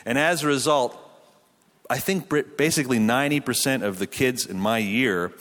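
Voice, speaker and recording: A man's voice: mid-range voice, normal speed, fairly bright tone. Canadian man preaching in a reverberant hall. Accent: Canadian English. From Quebec, Canada. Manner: joking, self-deprecating, informal. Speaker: pastor. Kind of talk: conversational sermon to a live audience. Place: large hall, speaking to an audience.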